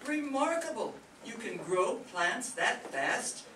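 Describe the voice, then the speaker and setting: A man's voice: extremely high pitch, sing-song, slow, noisy background, exaggerated voice. A man playing a haughty, regal old matriarch in a radio soap opera parody, speaking theatrically. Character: imperious, haughty aged matriarch grandmother. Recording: distant echoey stage, music behind.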